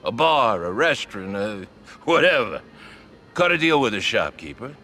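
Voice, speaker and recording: An actor playing an embittered old-school street mobster in a video game, grumbling in colloquial, streetwise talk. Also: male voice, mid-range voice, very animated, moderate pace, bright, forceful tone, a little hiss or hum. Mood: matter-of-fact. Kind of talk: video game crime drama acting.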